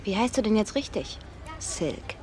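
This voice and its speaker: A woman's voice: mid-range voice, sing-song, measured pace, darkish tone, slight background noise. An actress playing a teasing, bold young woman in a radio drama, coaxing and playful.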